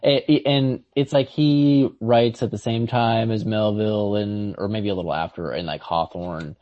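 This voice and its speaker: A man chatting casually as a podcast co-host, thinking aloud in a halting, tentative way. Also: on the low side, fairly even intonation, highs cut off. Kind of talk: casual literary chat.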